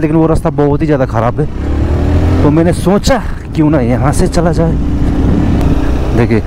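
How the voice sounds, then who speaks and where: Young man vlogging from a motorbike. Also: on the high side. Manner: chatty, addresses viewers as guys. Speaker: motovlogger. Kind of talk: casual travel vlog narration while riding. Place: outdoors on a motorbike on a rainy hill road. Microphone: helmet or bike-mounted action camera. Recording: outdoor ride with engine and road noise. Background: motorcycle engine and rain.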